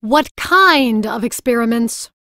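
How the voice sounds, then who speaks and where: A brisk, high-voiced mage teacher. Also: female voice, expressive, pushed and bright, no echo, studio quality, full character voice. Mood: suspicious. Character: brisk, suspicious, commanding woman mage teacher. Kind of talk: audiobook drama acting.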